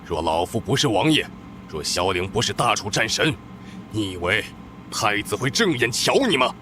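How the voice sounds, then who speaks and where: A man's voice: lowish voice, lively intonation, moderate pace, background noise, close to an everyday voice. An actor playing a stern older noble father who scolds his daughter in a commanding tone. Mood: scolding. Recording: music behind the voice.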